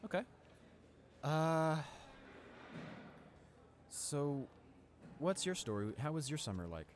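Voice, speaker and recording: A man playing a friendly, awkward, talkative high school student in a casual audio roleplay, speaking in a hesitant, rambling, informal way. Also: big pitch swings, takes it slowly, a little hiss or hum. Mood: chatty, enthusiastic.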